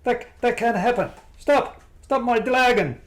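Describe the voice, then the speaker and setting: A villainous male crime boss from an amateur radio play spits out his threats, voiced by a man. His voice sits high and he drags every word out slowly. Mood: furious. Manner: threatening, then shocked and raging.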